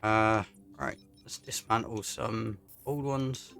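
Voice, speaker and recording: A man giving casual, low-key commentary on a video game as he plays, often muttering to himself. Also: fairly even intonation, slow pace, gravelly, fairly bright tone. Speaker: gaming YouTuber. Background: game music.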